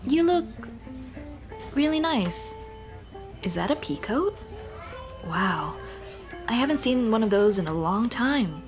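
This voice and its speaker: Woman doing a flustered monster girl, asmr roleplay, slow pace, stammery and nervous, music behind her. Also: on the high side, big pitch swings. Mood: nervous, bashful. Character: shy, flustered female dullahan monster girl on a date.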